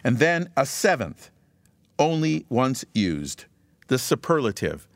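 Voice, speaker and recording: Slow, measured male preacher. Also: medium pitch, sing-song, no echo. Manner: slow, measured, emphatic delivery with rhythmic repetition. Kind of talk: scripted devotional message.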